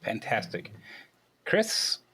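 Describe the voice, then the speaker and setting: A man's voice: extremely slow, bright, forceful tone. A man hosting a casual group interview. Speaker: host.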